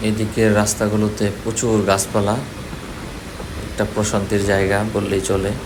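Flat-toned Bangladeshi man over road noise. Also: lowish voice, normal speed. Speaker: vlogger. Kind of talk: casual commentary while riding a motorbike. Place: outdoors on a moving motorbike in city streets. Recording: clear traffic and wind-like road noise. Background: vehicle and road noise.

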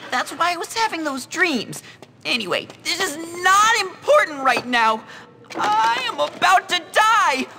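A melodramatic, self-important teenage nerd in a video game. The voice is high and bright, with slow, grandly wordy phrasing. It rings in a reverberant hall with faint noise. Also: male voice, sing-song, creaky, heavily acted. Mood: panicked, whiny. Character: scheming, self-important, panicky nerdy teenage schoolboy. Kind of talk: video game cutscene acting.

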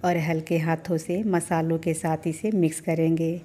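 A woman hosting a cooking channel, giving calm step-by-step recipe instructions as a voice-over. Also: low voice, normal speed, soft background music.

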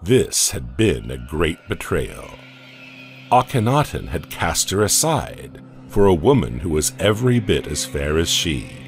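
A man narrating a horror audio comic in a slow, measured, ominous way. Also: low voice, sing-song. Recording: narration over loud music.

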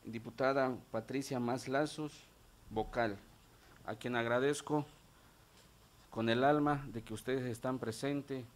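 A man's voice: medium pitch, steady tone, unhurried, long pauses. Masked man reading a formal address. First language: Ch'ol. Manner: formal, reads from prepared notes. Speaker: government secretary appearing before lawmakers. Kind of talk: formal address to a legislative committee. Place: legislative meeting room. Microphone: table gooseneck mic, face mask on.

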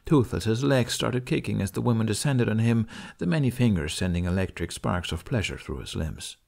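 A man's voice: on the low side, expressive, normal speed, vocal fry, darkish tone. A man reading a story aloud as an audiobook narrator in a scripted storytelling style.

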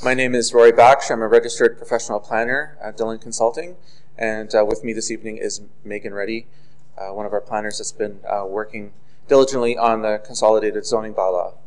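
A man, a professional planner, giving a formal presentation at a podium microphone in a council chamber, speaking loudly and emphatically with many "uh"s. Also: on the low side, fairly even intonation, fairly quick, low-quality recording. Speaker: consulting planner.

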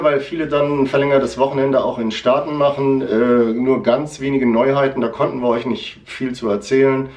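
A man's voice: medium pitch, a little mellow, recorded from a distance. A middle-aged man with a northern German accent, presenting new merchandise from his comic shop in a casual, rambling way with many fillers and restarts. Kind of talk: casual product chat. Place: shop room with posters, indoors. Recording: roomy, from off the mic.

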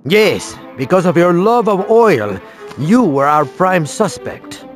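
An actor playing an earnest, self-important undercover spy in a cartoon game, with formal, dramatic delivery. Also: male voice, high voice, big pitch swings, takes it slowly, faint music behind, full character voice. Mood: self-important, explaining. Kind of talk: video game cartoon acting.

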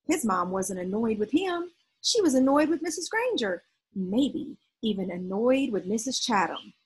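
A woman reads a storybook aloud with expression. Her voice sits a little high and has a husky edge. She is outdoors on a porch, speaking to a nearby device. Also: sing-song, normal speed, low-quality recording. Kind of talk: expressive storybook reading to children. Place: outdoors on a leafy backyard porch.

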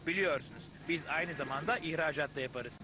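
Obliging cartoon guide showing off, high male actor, dull audio over music. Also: fairly quick, heavy background noise, lightly acted. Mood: proud. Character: proud, obliging tour guide inside a cell. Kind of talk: dubbed educational cartoon acting. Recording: dull lo-fi soundtrack, music behind.

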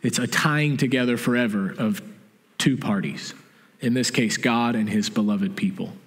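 A middle-aged man preaching a sermon in a church sanctuary, explaining calmly and restating his key points. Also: medium pitch, moderate pace. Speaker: pastor. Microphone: stage mic from a far camera. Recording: long church reverb.

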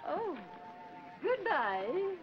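A woman's voice: very high-pitched, sing-song, very slow, full character voice. A woman playing a gushing, affected middle-aged society matron in an old film comedy. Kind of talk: 1940s film comedy acting. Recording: muffled 1940s film soundtrack, music behind.

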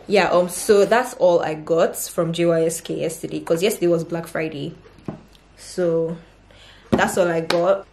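A woman's voice: on the low side, warm tone. A woman in her twenties chatting casually to camera in her apartment, upbeat and rambling with fillers. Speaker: vlogger. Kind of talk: casual vlog chat to camera. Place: small furnished apartment living room. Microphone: camera at arm's length.

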